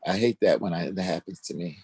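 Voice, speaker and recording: Older man chatting haltingly, dry close sound. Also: deep voice, a little mellow, breathy edge, no room sound. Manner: halting, searching for words. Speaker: interview guest, musician. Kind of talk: casual chat.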